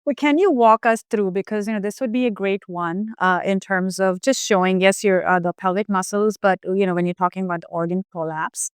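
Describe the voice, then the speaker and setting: A woman hosting an interview, affirming her guest in a casual, halting way with fillers. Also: medium pitch, fairly even intonation, clear, resonant tone, dry recording, clean studio sound. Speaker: podcast host.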